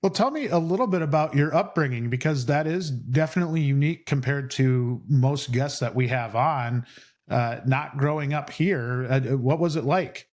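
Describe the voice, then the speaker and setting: A man's voice: mid-range voice, normal speed, a little mellow. A man hosting a podcast, asking a guest questions in a casual, friendly way. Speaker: podcast host.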